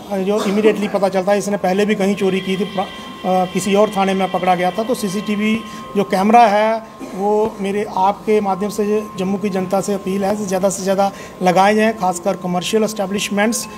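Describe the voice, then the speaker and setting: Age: middle-aged. Sex: man. Role: police officer. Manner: measured, hesitant, formal. Pitch: high, flat. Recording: press mics, faint noise. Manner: measured, hesitant with frequent fillers, formal appeal. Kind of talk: press conference statement. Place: indoor police station room. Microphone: table of press microphones.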